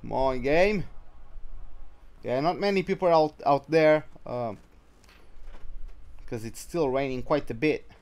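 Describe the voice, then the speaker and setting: A man's voice: medium pitch, slow, long pauses, a little mellow. A man giving casual, chatty commentary as he streams a racing game live. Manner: chatty, greets viewers by name mid-commentary. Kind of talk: casual livestream gaming commentary.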